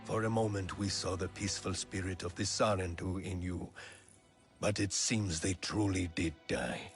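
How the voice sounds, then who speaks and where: An actor playing a stern, grieving alien clan leader, a widowed father, solemn and measured in a video game. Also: low-pitched, creaky, faint music behind. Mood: grieving, fearful. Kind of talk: dramatic video game acting.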